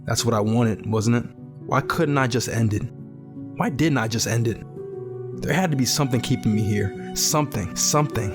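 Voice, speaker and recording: A man's voice: medium pitch, close to an everyday voice. A man playing a grieving, bitter teenage survivor in a post-apocalyptic audio drama, earnest and brooding. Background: music.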